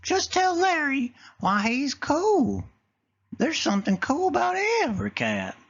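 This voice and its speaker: A man voicing a kindly mother cat, speaking softly and slowly in a raised voice. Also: huge pitch swings, dull audio, full character voice. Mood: reassuring. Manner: soft, slow and high.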